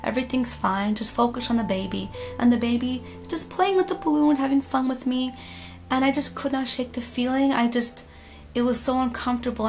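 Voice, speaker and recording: A woman telling a spooky personal story casually, in a dramatic, emphatic manner with pauses. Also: a little high, creaky, darkish tone. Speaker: storytime vlogger. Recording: music playing behind the voice. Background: background music.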